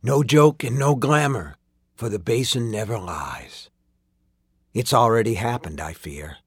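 A man's voice: medium pitch, a little slow, slightly rough, no room sound. A man voicing a sinister, mockingly courteous sorcerer in an audiobook story, with archaic, teasing speech that drops into whispers. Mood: mocking, sly.

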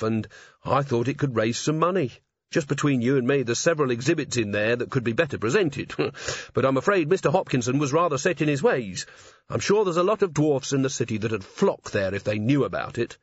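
A man's voice: mid-range voice, slightly rough, dry recording, pitched above the performer's own voice. A man voicing an earnest, cheerfully oblivious young male watchman. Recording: slightly dull lo-fi audio.

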